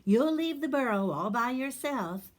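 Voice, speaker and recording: Big Stripy Beak, a caring puffin father, as a woman reading aloud voices him. He speaks gently and reassuringly, at an unhurried storybook pace. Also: high-pitched, expressive, pitched above the performer's own voice. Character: caring male puffin parent.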